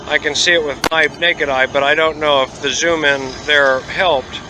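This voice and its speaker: Man, travel vlogger, slightly high voice, loose rambling delivery, outdoor recording with street noise. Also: fairly even intonation. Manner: rambling, many "uh" pauses, easygoing. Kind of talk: casual travel vlog narration. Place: outdoors at a port waterfront.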